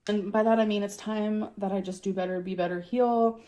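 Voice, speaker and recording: A woman's voice: mid-range voice, steady tone, fairly quick, dark tone. A woman talking to her camera in a chatty, gushing vlog style, full of fillers. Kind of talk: casual vlog talk to camera.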